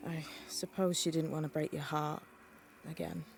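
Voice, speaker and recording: A woman playing a blunt, sly young witch's novice in a video game, wry and teasing in a deadpan way. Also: low voice, normal speed, gravelly, faint music behind. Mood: calm, knowing. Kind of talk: video game cutscene acting.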